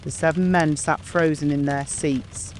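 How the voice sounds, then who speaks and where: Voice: mid-range voice, fairly even intonation, a little slow, a little hiss or hum. A narrator reading a scripted audiobook story.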